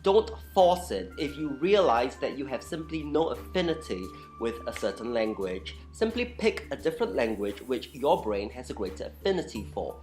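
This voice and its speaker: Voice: mid-range voice, sing-song, moderate pace, few pauses, darkish tone. Candid, emphatic vlogger with background music. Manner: candid, informal, blunt. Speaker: vlogger and language enthusiast. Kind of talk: opinionated explainer talk to camera.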